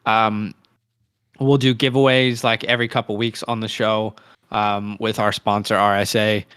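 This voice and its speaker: A man's voice: fairly low pitch, level tone, moderate pace, a bit bright, clear, resonant tone, dry recording, clean studio sound. A man in his late twenties co-hosting a casual sports livestream, talking informally with plenty of slang. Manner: informal slang, chummy address. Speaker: co-host of a fantasy football show.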